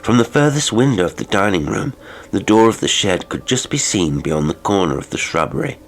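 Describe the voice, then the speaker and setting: A man narrating a classic horror audiobook in a measured, literary, theatrical manner. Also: fairly low pitch, sing-song, creaky. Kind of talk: dramatic horror story narration. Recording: music behind the voice.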